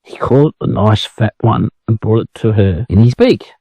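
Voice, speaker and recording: A man's voice: fairly low pitch, animated, moderate pace, dark tone, breathy edge, no room sound. An Australian man narrating a children's story for English learners as a storyteller.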